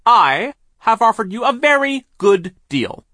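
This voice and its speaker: A man voicing a domineering, stingy mill boss for an audiobook, blustering and pompous, with drawn-out, emphatic words. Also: very high voice, sing-song, no room sound, clean studio sound, voice pushed up, exaggerated voice. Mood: brusque. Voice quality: forceful, drawn-out emphatic delivery. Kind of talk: audiobook character voice.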